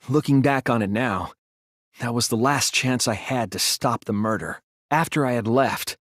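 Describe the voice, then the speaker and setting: An earnest teenage boy detective from a dramatic video game, acted by a young man. His voice sits at a medium male pitch and he lays out his reasoning deliberately, with a self-doubting streak. The recording is close and dry. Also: dry recording, close to an everyday voice. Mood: measured, grave. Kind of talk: dramatic English video game voice acting, deductive monologue.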